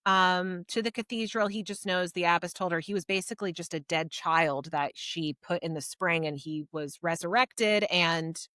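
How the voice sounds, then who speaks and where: Bright-voiced woman in casual book chat. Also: on the low side, normal speed, few pauses, dry recording, clean studio sound. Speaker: podcast co-host reviewing books.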